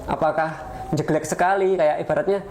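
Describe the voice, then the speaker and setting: A man's voice: fairly high pitch, fairly even intonation, a little fast, darkish tone. A man with an Indonesian accent hosting a podcast, asking casual questions informally and rephrasing them as he goes. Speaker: podcast host. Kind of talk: casual interviewing. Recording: background noise.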